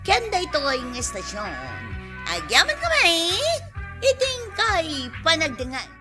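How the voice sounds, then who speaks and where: A woman's voice: extremely high pitch, huge pitch swings, measured pace. A woman with an Ilocano accent announcing a radio drama in a dramatic introduction. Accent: Ilocano, northern Philippines. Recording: music under the voice. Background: music.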